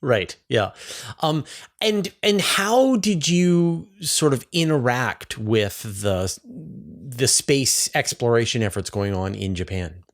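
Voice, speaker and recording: A man's voice: mid-range voice, expressive, slow pace. A man hosting a conversational interview, informal and thinking aloud as he rephrases. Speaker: interview host, space journalist.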